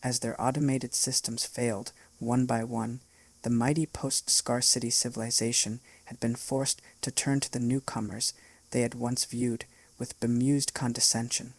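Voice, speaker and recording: Male narrator for a sci-fi story, husky, flat delivery, dry close mic. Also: medium pitch, normal speed, dry recording. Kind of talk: scripted narration.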